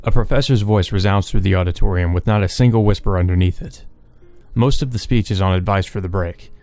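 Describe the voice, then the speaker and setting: A man with a fairly low voice narrates a visual novel. His storytelling is measured and subdued, and music plays behind him as he hosts a let's play. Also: mellow. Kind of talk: narration read aloud with brief asides.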